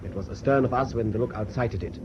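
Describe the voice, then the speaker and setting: Muffled noisy old broadcast, male actor as dutiful German ship's officer, quick-talking, formal, respectful. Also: mid-range voice, close to an everyday voice. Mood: formal, insistent. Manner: respectful, crisp military formality with hesitant openings. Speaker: actor in a radio play. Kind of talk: BBC radio drama acting.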